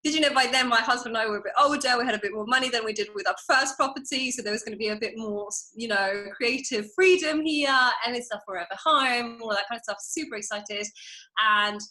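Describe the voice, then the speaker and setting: Bright-voiced chatty woman. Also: on the high side, rough recording. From France. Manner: chatty, laughs often, quick asides. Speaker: interior designer, guest. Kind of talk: casual interview storytelling.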